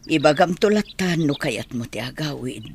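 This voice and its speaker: A woman acts a gentle Ilocano mother in a homemade audio drama. Her voice is middle-aged and moves up and down a lot as she consoles her grown son, with some outdoor noise and birds behind her. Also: low-pitched, animated, normal speed, creaky. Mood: caring. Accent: Filipino, Ilocano. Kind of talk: amateur audio drama acting.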